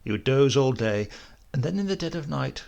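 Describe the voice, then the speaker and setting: A man narrates literary fiction. His voice is medium in pitch with a pronounced gravelly fry. He tells it in a measured, descriptive storyteller's manner. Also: lively intonation, darkish tone. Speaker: audiobook narrator.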